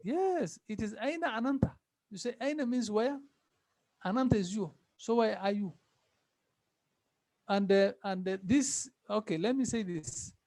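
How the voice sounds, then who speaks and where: High-voiced man teaching Arabic. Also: animated, unhurried, pauses often, darkish tone. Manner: patient, repeats phrases, asks students questions. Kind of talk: online class teaching.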